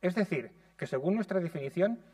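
Man from Spain, measured lecture reading, slightly high voice, dry distant sound. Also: expressive, mellow, no echo. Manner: measured, reads from notes. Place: conservatory lecture room.

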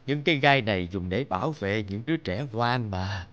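A man voicing a kindly, protective older porcupine uncle in a cartoon, speaking gently and reassuringly. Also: fairly low pitch, lively intonation, moderate pace, dull audio. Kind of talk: cartoon dubbing.

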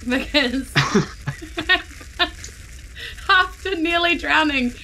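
A woman's voice: high-pitched, lively intonation, drawn-out words, bright, forceful tone, rough recording. A woman, playing casually in a tabletop role-playing game. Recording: faint sizzling background noise.

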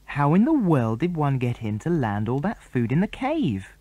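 A man's voice: fairly high pitch, huge pitch swings, moderate pace, mellow, no echo. A man with a British accent voicing an eager, inquisitive young boy in a children's adventure storybook. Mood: questioning. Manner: eager, questioning.

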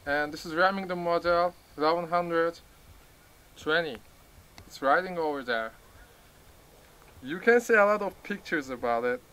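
A man's voice: a little high, pushed and bright, a little hiss or hum, rough recording. Young man with a Turkish accent. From Turkey. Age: young adult. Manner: halting non-native English, laughs through takes. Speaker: first-time shooter presenting a gun. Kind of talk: casual gun-safety demo with retakes. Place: outdoors in a gravel field by a truck. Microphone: camera mic from a few meters.

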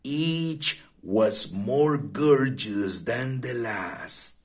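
A man reading a fairy tale aloud for young children in a deliberate, expressive way, with pauses. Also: mid-range voice.

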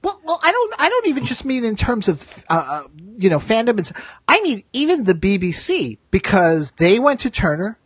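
A man's voice: extremely high pitch, huge pitch swings, normal speed, slightly rough, no echo. A man hosting a podcast, chatting casually as a fan in a talkative, emphatic way. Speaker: podcast host.

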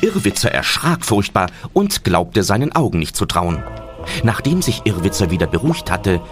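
Low, highly animated male storyteller. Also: moderate pace, over music. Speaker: narrator. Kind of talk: scripted storytelling narration for a children's audio drama.

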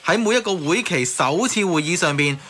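A man's voice: a little high, expressive, normal speed, bright, forceful tone, a little hiss or hum. An actor playing an earnest, argumentative man in a radio drama, emphatic and repetitive, with a Hong Kong Cantonese accent. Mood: indignant. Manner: insistent, emphatic, repeats words.

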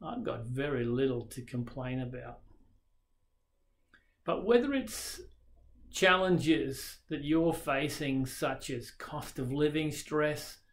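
A man's voice: mid-range voice, lively intonation, takes it slowly. A man in his sixties with an Australian accent, preaching a recorded sermon straight to camera from a small dim room, earnest and reflective. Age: 60s. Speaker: pastor. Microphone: webcam facing him at desk distance.

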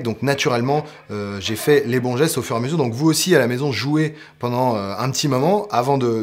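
A man, teaching guitar to camera, explaining step by step. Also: mid-range voice. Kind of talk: instructional talk to camera. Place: indoor guitar studio with amps. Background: electric guitar playing.

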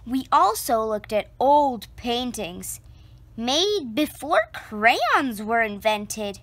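A woman reading a children's picture book aloud, slow and expressive, close to the camera indoors. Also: dry recording. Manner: slow, expressive storytelling with lively pitch.

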